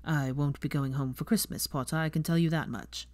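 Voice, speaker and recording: A woman's voice: low-pitched, fairly quick, darkish tone, no room sound, lightly acted. A woman voicing a cool, reserved young man in an audiobook, dry and understated. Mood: guarded. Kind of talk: fan fiction audiobook character voice.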